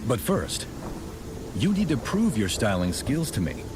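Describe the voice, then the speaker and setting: A man acting a stern, gruff leader of a guard corps in a video game cutscene, formal and skeptical in manner. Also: medium pitch, wildly swooping pitch, unhurried. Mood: commanding, skeptical. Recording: music behind the voice.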